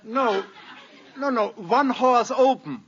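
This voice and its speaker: An actor playing a meek, dithering shopkeeper in an old radio comedy, stammering and pleading nervously. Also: male voice, very high-pitched, takes it slowly, full character voice. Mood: anxious, insistent. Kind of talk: 1950s American radio comedy acting. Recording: dull, very noisy old radio recording.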